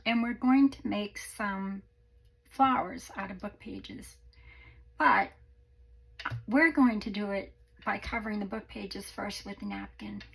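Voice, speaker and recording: A woman hosting a crafting video, chatting casually through a tutorial with a croaky voice, rambling and self-deprecating. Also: mid-range voice, steady tone, slow, pauses often, a bit bright. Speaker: crafting channel host.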